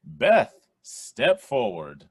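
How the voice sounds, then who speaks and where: Man acting a young game show emcee, very lively swings in pitch, announcer-like emphasis, husky, radio play. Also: very slow, no echo, clean studio sound, exaggerated voice. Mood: showy, upbeat. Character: Ted, young man playing a showy quiz show host.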